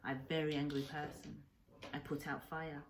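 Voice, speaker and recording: A woman voicing an old Japanese island hermit from a storybook, angry, sorrowful and gentle, in short sentences of halting broken English. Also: low-pitched, little pitch movement, a little hiss or hum, rough recording. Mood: angry, pained. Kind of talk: storybook read-aloud character.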